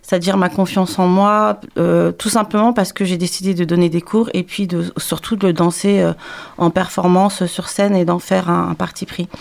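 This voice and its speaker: A woman from France, a dance teacher, answering interview questions in a radio studio, hesitant and pausing often to search for words. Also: on the low side, flat delivery, vocal fry. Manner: hesitant, with frequent "euh" fillers and restarts.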